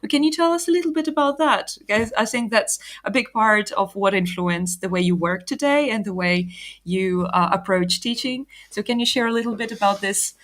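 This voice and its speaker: A woman's voice: mid-range voice, moderate pace, fairly bright tone. A woman hosting a live online session, upbeat and chatty, with fillers and laughter. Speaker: host.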